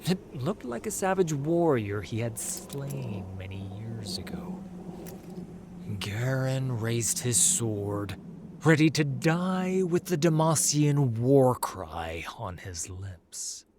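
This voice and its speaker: A man narrating a fantasy audio drama in a solemn, measured, dramatic storytelling manner, letting phrase endings drop to a hush. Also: medium pitch, very animated, noisy background.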